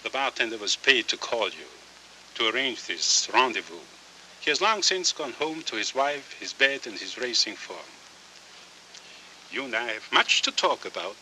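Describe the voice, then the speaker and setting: An actor playing a suave, wordy gentleman villain in a 1940s film noir, courtly, florid and deliberate, on a hissy old soundtrack. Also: medium pitch, sing-song, rough recording. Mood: smooth, needling.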